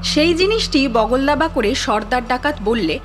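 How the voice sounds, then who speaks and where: Woman narrator, wry lively storytelling, a bit high, sitar music behind. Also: normal speed. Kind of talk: scripted narration.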